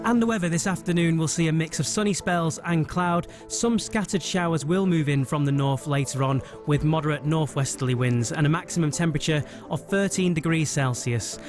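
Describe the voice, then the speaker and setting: A man's voice: on the high side, a little fast, hardly any pauses, music in the background. A British man reading a scripted radio news bulletin as the presenter.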